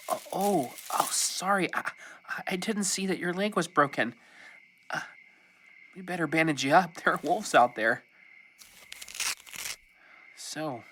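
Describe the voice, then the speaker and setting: A man playing a kindly, hesitant young runaway who talks gently and haltingly in a children's audio drama. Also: fairly high pitch, a little hiss or hum, exaggerated voice.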